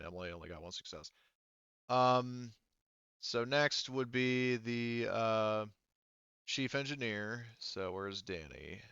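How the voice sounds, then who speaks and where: A man casually running a tabletop roleplaying session as game master, halting and thinking aloud as he goes. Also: fairly low pitch, fairly bright tone, clean tone, no room sound, muffled recording.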